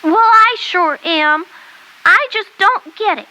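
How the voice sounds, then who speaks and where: A squeaky, weepy baby girl puppet. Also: expressive, bright, forceful tone, exaggerated voice, rough recording. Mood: questioning. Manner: wailing, whiny, slow and drawn-out. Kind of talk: puppet show.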